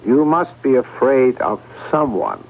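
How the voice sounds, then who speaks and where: An actor playing a skeptical psychiatrist in a radio drama, questioning in a pointed, measured manner. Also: male voice, medium pitch, wildly swooping pitch, gravelly, slightly rough, heavily acted. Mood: probing, analytical. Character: probing, skeptical male psychiatrist. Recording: muffled old radio broadcast.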